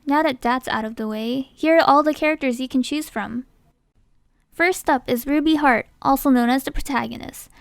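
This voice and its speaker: High, clear-voiced woman narrating. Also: moderate pace, no echo, studio quality. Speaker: casting-call host. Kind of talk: scripted narration.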